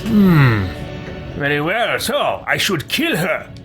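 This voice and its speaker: Man putting on a slow, haughty evil wizard. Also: a little high, big pitch swings, a bit bright, faint music behind, pitched above the performer's own voice, exaggerated voice. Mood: scheming. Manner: slow, haughty, musing drawl. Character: arrogant, self-serving evil wizard, coldly ambitious. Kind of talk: roleplaying a video game character.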